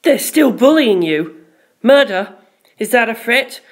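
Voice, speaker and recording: A woman doing a bossy young lady in an audiobook, haughty and sharp tempered, voice pushed up high. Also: lively intonation, measured pace. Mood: alarmed. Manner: imperious, emphatic.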